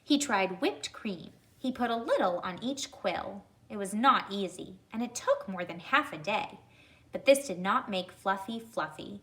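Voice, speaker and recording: A woman reading a children's story aloud, addressing young listeners deliberately and pausing to ask them questions. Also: mid-range voice, lively intonation, a bit bright, poor audio.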